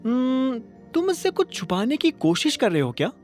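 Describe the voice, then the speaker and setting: A woman's voice: high voice, sing-song, moderate pace, darkish tone, faint music behind. A woman playing a playful, inquisitive, pushy young rich woman in an audio drama, teasing and probing. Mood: suspicious, probing. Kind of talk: Hindi audio drama dialogue.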